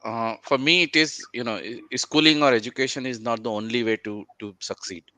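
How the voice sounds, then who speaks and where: A man answering in an unscripted panel discussion in a halting way. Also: mid-range voice, bright, forceful tone, dry recording.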